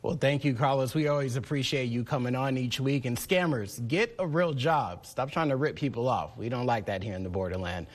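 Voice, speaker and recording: A man's voice: mid-range voice, a little fast, few pauses. Male morning news anchor with a mid-range voice. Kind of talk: broadcast news interview.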